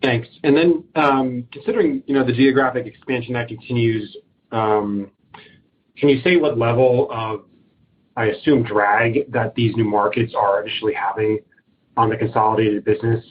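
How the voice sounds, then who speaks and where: A man speaking as a financial analyst, asking questions on an earnings call. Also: fairly low pitch. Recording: tinny phone line, faint noise.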